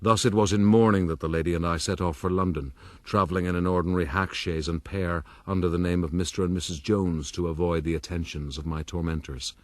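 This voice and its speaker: An actor playing a proud, roguish gentleman who narrates his own story in a florid, boastful, rueful way for a period radio drama. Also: sits low, steady tone, a little fast, few pauses, no room sound, close to an everyday voice. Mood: measured recounting.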